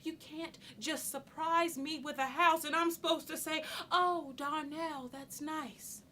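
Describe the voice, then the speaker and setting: A woman's voice: high-pitched, steady tone, normal speed, pushed and bright, a little hiss or hum. An actress playing a practical, frustrated young mother confronting her partner in a stage monologue, insistent, colloquial and questioning. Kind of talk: theatrical monologue.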